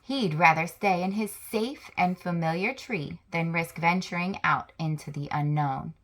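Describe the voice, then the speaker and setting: Slow, lowish-voiced woman reading aloud. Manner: slow, expressive storytelling with list-like delivery. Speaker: children's book read-aloud host. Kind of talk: reading a picture book aloud to children.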